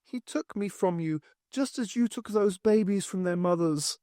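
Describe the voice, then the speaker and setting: A man voicing the ghost of a dead woman with a sing-song Scottish Highland accent, speaking through a child and accusing her husband. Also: moderate pace, dark tone, no room sound, clean studio sound, lightly acted. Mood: sorrowful accusing. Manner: accusing, sorrowful. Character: grieving pious Highland wife's ghost, accusing.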